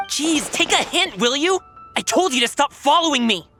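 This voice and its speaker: Brash, bossy, lively youth. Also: female voice, high voice, moderate pace, music in the background. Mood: exasperated. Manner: pushy, cocky, slangy. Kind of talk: game cutscene acting.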